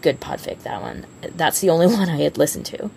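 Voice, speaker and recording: A woman's voice: low-pitched, animated, fairly quick, gravelly, very noisy. A woman co-hosting a podcast in casual, unscripted chat. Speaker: podcast co-host.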